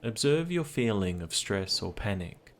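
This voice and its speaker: A man narrating a guided meditation in a gentle, soothing, unhurried manner. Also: on the low side. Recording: clear steady background ambience noise, ocean sounds. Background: ocean sounds.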